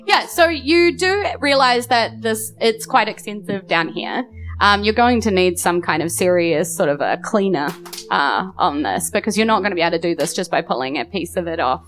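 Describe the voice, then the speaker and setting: A woman's voice: mid-range voice, expressive, normal speed, fairly bright tone. A woman, improvising narration as game master in casual banter at a live show. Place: small live venue.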